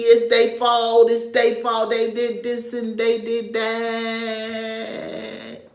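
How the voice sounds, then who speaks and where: A woman's voice: on the high side, echoey room. A woman from New York, raised in North Carolina, giving casual livestream commentary. She talks in a chatty way, drifts off topic and chuckles often. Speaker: social media commentator.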